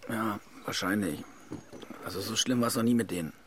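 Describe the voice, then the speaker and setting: An actor playing a stressed, suspicious husband in his forties, hushed and urgent, often slipping into whispers in a naturalistic radio drama. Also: lowish voice, moderate pace, a little hiss or hum, lightly acted. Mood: subdued. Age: 40s.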